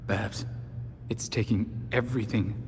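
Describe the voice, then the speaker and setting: Earnest young American hero, lowish, reverberant. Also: male voice, steady tone, a little slow, noisy background. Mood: burdened, confessing. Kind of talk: naturalistic video game cutscene acting. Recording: reverberant hall, prominent music underneath.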